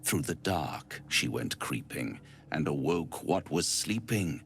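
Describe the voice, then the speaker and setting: Slick pompous devil schemer for a video game, slow savouring drawl, creaky low end. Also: male voice, lively intonation, fairly bright tone, slight background noise, exaggerated voice. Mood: ominous, poetic. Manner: pompous, florid, savouring each phrase. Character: suave, smug male devil, theatrical silver-tongued schemer. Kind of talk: theatrical fantasy game acting, rhyming and grandiose.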